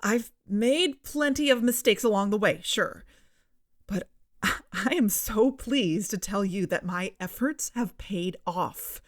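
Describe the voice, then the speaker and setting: Slow-paced woman podcast host, played by an actress. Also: medium pitch, expressive, no room sound, studio quality. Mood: composed, defensive. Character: defensive, self-assured female investigative podcast host. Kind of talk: scripted narration in audio drama.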